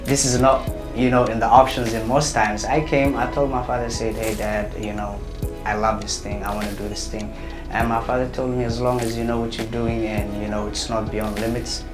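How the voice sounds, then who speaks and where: A man's voice: mid-range voice, little pitch movement, a little fast. A young man, talking hesitantly with many fillers in an interview. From Somalia. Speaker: interviewee, writer and actor. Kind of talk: casual interview. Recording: roomy with loud music behind.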